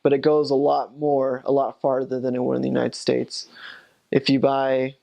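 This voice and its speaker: A man's voice: mid-range voice, monotone, moderate pace, gravelly, warm tone. A young man, a returned missionary, recalling his mission in a rambling, casual way with many fillers and restarts. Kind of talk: casual recollection.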